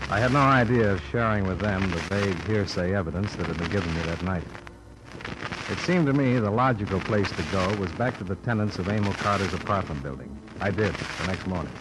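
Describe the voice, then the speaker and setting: An American actor playing a cool, persistent insurance investigator, dry and matter-of-fact, in an old radio noir drama. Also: male voice, sits low, a little fast, loud music behind the voice, close to an everyday voice. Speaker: narrator and lead actor. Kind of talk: 1940s-50s radio noir, first-person narration and dialogue. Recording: very noisy old radio recording.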